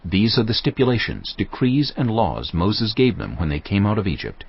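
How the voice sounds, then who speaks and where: Very low male narrator, expressive intonation, formal scripture reading, slightly muffled sound. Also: breathy edge. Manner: measured, formal storytelling.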